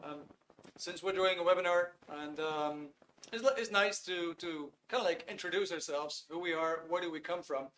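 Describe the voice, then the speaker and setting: A man's voice: on the high side, normal speed, fairly bright tone, slight background noise, poor audio. A man in his fifties with a Dutch accent, hosting a live online webinar in an upbeat way and greeting viewers by name. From Netherlands. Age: 50s. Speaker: webinar host.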